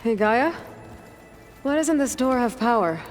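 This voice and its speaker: A woman's voice: fairly high pitch, expressive, measured pace. A woman playing a determined young female adventurer in a video game, talking in a direct, informal, understated way. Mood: calling out. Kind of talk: scripted video game dialogue. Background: music.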